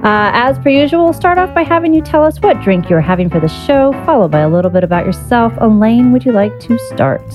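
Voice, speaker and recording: A woman's voice: a little high, sing-song, fairly quick, few pauses, music in the background. A woman hosting a podcast interview, chatty and enthusiastic, laughing often. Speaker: podcast host. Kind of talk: casual interview chat.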